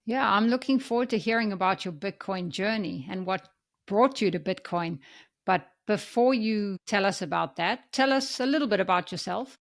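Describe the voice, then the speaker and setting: A woman speaks as a podcast host in a conversational interview style. Her voice sits at an average pitch for a woman, and she talks as someone guiding a guest along. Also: moderate pace.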